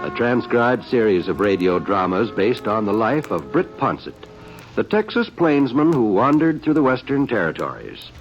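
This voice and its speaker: Old-time network announcer, man, lively emphatic voice, muffled transcription over music. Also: moderate pace. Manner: booming, emphatic announcer cadence. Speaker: radio announcer. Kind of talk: 1950s network radio announcing.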